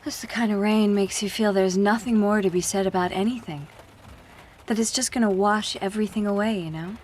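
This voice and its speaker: Over background noise, a woman acts a guarded American traveller in a radio drama. She is polite, reserved and understated, speaking at a middling pitch. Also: steady tone, a little fast, darkish tone, close to an everyday voice. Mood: pensive. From Seattle, United States. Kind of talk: naturalistic radio drama acting. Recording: background noise, vehicle and room ambience.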